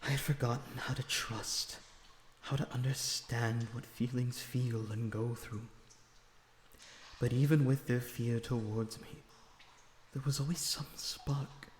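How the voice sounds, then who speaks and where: A man playing a lonesome, remorseful, gentle vampire prisoner in an audio roleplay, speaking in an earnest, halting, emotional way. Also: mid-range voice, lightly acted. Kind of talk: dramatic audio roleplay acting. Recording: echoey reverberant dungeon-like sound, background noise.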